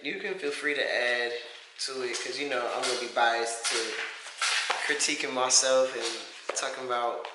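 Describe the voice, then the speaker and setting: A man's voice: medium pitch, fairly even intonation, normal speed. A man giving a casual, rambling personal update to camera in an informal, self-reflective way. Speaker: vlogger. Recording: echoey room with faint hiss.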